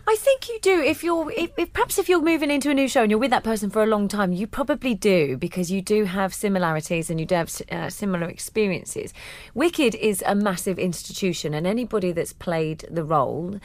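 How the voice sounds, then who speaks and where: A woman's voice: mid-range voice, brisk, no echo. A woman who is a musical theatre actress co-hosting a podcast, chatting enthusiastically. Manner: warm, enthusiastic, gushing. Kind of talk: casual theatre chat.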